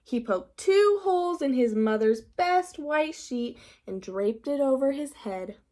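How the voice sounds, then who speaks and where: A woman with a fairly high voice reads a picture book aloud to young children. She goes very slowly, in a teacherly manner, pausing to prompt them with simple questions. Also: expressive, mellow. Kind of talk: storybook reading with questions to children.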